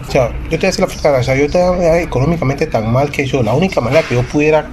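A Panamanian radio host, a man, makes a prank call at a quick pace. He rambles on confidingly with mock earnestness over a clearly noisy broadcast line. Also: a little high. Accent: Panamanian Spanish. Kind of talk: prank phone call, conversational.

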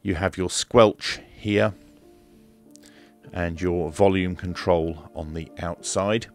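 Low-voiced, slow-talking man. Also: steady tone, darkish tone, soft background music. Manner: unhurried, matter-of-fact, hesitant step-by-step explaining. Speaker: radio reviewer and demonstrator. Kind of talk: casual product demonstration. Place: indoor room at a desk.

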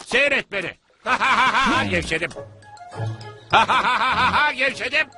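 A man's voice: very high voice, animated, drawn-out words, pushed and bright, soft background music, heavily acted. A man voicing a bossy, giggly animal who plays the healer in a cartoon dub, teasing and theatrical. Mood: cheerful, boastful.

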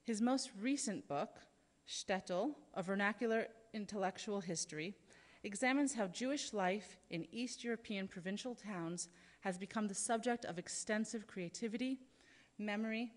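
A woman's voice: mid-range voice, normal speed. A woman in her thirties at a podium, giving prepared introductory remarks from notes with frequent fillers. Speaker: event speaker introducing a lecturer. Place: large lecture hall, at a podium. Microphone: podium microphone. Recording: reverberant hall.

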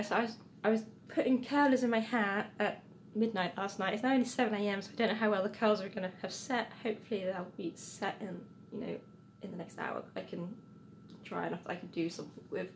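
A woman in her thirties with a croaky, just-woken voice, chatting casually into a phone at arm's length indoors. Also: medium pitch, steady tone, a little fast, echoey room, slight background noise. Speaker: costume sewing vlogger. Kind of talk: casual morning vlog to camera. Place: dim room at home, indoors.

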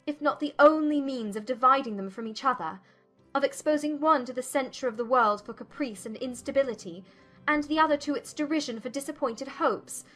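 Woman playing an indignant young woman, high and accusing. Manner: accusing, measured and forceful. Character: indignant young woman confronting a proud man. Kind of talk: audiobook dramatization.

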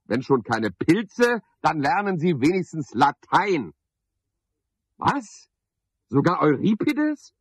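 An actor in a radio play voicing a cynical, self-satisfied, talkative night caretaker, with a pompous, sardonic, theatrical manner. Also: male voice, on the high side, sing-song, slow pace, slightly rough, no room sound, heavily acted. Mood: exclaiming, scolding. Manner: pompous, sardonic, theatrical one-sided conversation. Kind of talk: 1970s German radio play monologue. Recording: slightly dull 1970s radio recording.

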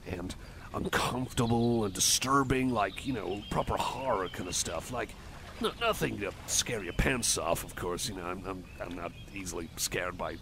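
A man's voice: low-pitched, expressive, normal speed, noisy background. A man playing a shy, awkward, eager-to-please cyborg buddy in an ASMR roleplay, rambling to the listener in a hesitant, stammering, apologetic way. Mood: geeky, enthusiastic.

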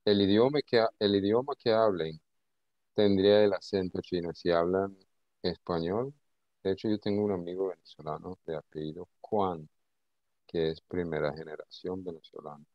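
A man teaching an informal online lesson, speaking haltingly and often repeating his words. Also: deep voice, steady tone, no room sound. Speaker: English teacher. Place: indoor video call. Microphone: video call. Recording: dull, lo-fi call audio.

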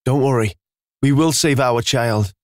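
A young father holding himself together, speaking in a steady, reassuring tone, as a man reads him. It is close and dry, with no room sound. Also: medium pitch, unhurried, dry recording, lightly acted. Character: young father trying to stay strong.

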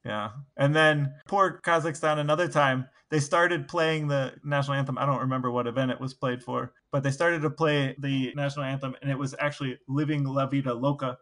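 A man's voice: mid-range voice, fairly even intonation, fairly quick, hardly any pauses, fairly bright tone. A man co-hosting a podcast, chatting casually and telling anecdotes. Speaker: podcast co-host.

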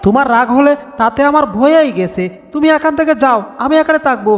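A man's voice: extremely high pitch, fast. A man voicing a whiny, bossy comic cartoon cat in a Bengali dub, grumbling and narrating his own doings with exaggeration. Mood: bossy, annoyed. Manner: exaggerated complaining, self-narrating. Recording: long reverb over background music.

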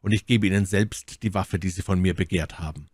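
A man reading aloud, voicing an earnest, devout young officer who speaks in a hushed, grave manner. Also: low voice, fairly quick, husky, dry recording, clean studio sound. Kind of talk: audiobook character reading.

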